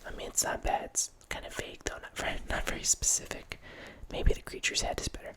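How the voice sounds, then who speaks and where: A man in his thirties whispers right into a close studio mic from a small room at home. His delivery is loose and rambling, full of restarts and small self-corrections. He keeps it soft and breathy the whole way through. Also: quick pace. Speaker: host. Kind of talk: whispered ASMR horror film ramble. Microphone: studio mic close up, headphones.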